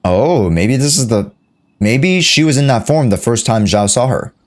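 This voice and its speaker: A man's voice: medium pitch, animated, moderate pace. A man giving casual live reactions as a game streamer, sometimes reading along and repeating himself. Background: game music.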